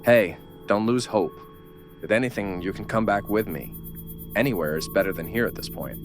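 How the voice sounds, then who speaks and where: A man playing a gruff, world-weary survivor who takes charge in an audio horror drama. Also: lowish voice, normal speed, music in the background, close to an everyday voice. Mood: firm.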